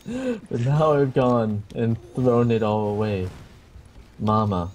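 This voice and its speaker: A mid-pitched man slowly recites song lyrics as poetry over voice chat, struggling to keep a straight face. A faint noise floor hums under the recording. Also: lively intonation, darkish tone. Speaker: gamer on voice chat.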